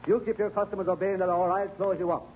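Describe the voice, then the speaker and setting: A man's voice: high-pitched, little pitch movement, quick pace, close to an everyday voice. An actor playing a bossy, blustering policeman who stammers when he is bested, in 1940s radio comedy style. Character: blustering bossy male beat cop. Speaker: police officer. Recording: tinny hissy 1940 radio broadcast.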